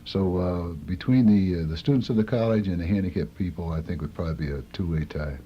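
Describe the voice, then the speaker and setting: A man's voice: deep voice, quick pace. A middle-aged man, a college coach, explaining things in a radio interview. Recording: dull old tape with faint noise.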